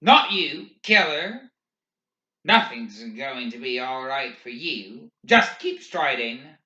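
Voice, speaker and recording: A man's voice: sits high, slow, voice pushed up, full character voice. A man voicing a wry young man who speaks evenly and cuttingly, in a clipped way. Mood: dry, needling. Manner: even, clipped delivery. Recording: roomy, slightly dull recording.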